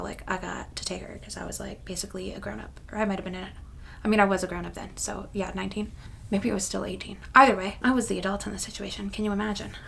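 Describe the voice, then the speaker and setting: Woman around 30 chatting away on her vlog, gravelly fry, rambly, bit of noise behind her. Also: medium pitch, fairly bright tone. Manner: rambling, self-deprecating. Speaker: craft channel vlogger. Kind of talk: casual chatty vlog to camera.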